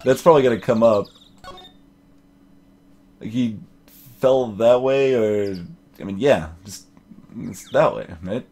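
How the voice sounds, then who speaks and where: A man doing casual let's play commentary in a mid-pitched voice. He thinks out loud as he goes, repeating phrases and reading bits of dialogue. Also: slow, long pauses, slightly rough. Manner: musing aloud, repeating phrases.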